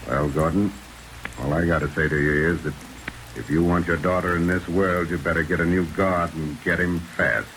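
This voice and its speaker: An actor playing a tough, skeptical detective in 1940s radio drama style, with a dry, blunt, wisecracking delivery. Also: male voice, steady tone, moderate pace, fairly bright tone. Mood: defiant, blunt. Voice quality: deep. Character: tough, skeptical male detective under arrest. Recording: old radio recording under hissing rain.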